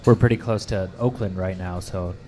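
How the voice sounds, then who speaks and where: A man's voice: sits low, fairly even intonation, moderate pace, darkish tone. A man hosting a radio interview, asking casual and curious follow-up questions in an informal, loose way. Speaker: radio host. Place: public fair.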